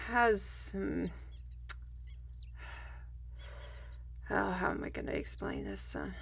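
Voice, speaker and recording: A woman's voice: mid-range voice, heavily acted. A woman playing a humble, plain-spoken fantasy trail guide and storyteller in an audio roleplay, with folksy, old-fashioned and hesitant phrasing. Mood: flustered, reluctant. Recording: music bed behind the voice.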